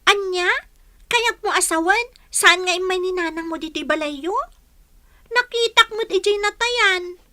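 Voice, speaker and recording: A high, bright and sharp girlish voice performed by a woman. She is a young village friend in a radio drama, frank, full of questions and sympathetic. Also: unhurried, heavily acted. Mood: inquisitive. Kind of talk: Ilocano radio drama acting.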